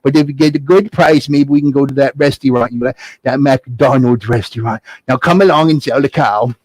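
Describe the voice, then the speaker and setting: A man's voice: mid-range voice, brisk, slight background noise, lightly acted. A man doing a fussy scolding mother. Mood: bossy. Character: poor bossy mother, scolding.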